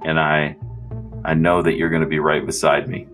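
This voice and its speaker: A man's voice: a bass voice, monotone, moderate pace, music in the background. A man playing a devout, brotherly holy warrior in a tabletop game, giving earnest, preachy encouragement. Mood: solemn, fervent. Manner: earnest, preachy pep talk.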